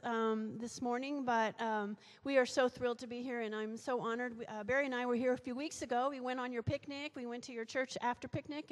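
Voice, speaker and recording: A middle-aged woman speaking as guest speaker at a church lectern, croaky from a cold, chatty with frequent fillers, into a handheld mic. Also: fairly high pitch. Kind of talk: sermon introduction. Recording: reverberant church hall.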